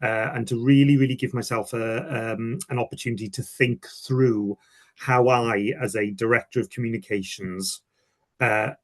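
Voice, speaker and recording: Welsh-accented male communications professional, medium pitch, informal and reflective, self-correcting as he presents. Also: steady tone, moderate pace. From Wales. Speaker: communications director giving a testimonial. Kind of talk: informal first-hand presentation.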